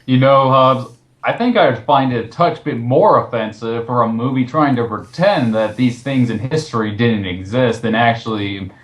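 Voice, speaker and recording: A man's voice: mid-range voice, normal speed. Sarcastic man in an echoey room. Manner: sarcastic, mocking. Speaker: co-host of a film commentary show. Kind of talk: sarcastic reading and casual commentary on an article. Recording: roomy, echoey voice recording.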